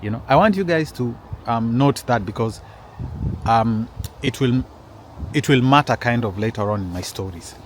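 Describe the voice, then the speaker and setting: A man's voice: medium pitch, lively intonation, normal speed, a little hiss or hum. A man telling a personal story in a casual, rambling way, with frequent restarts and asides to his listeners. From Kenya.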